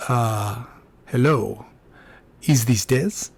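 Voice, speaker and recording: A man's voice: medium pitch, drawn-out words, gravelly, slightly rough, exaggerated voice. A man voicing a nervous, polite Frenchman in his twenties with a French accent and hesitant, formal phrasing, in an audiobook. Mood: cautious.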